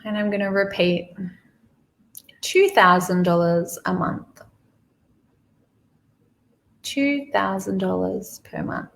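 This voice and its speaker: Gravelly-voiced woman leading meditation. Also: medium pitch, lively intonation. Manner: slow, soothing, repeats phrases with pauses. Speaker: guided meditation leader. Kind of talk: guided money meditation, spoken softly to the listener.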